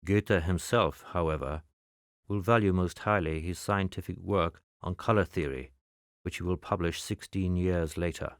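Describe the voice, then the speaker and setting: A man with a very low voice delivers scripted narration in the manner of a spy film. His delivery is measured and matter-of-fact, with no emotional colouring. The sound is dry, with almost no room around it. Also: dry recording.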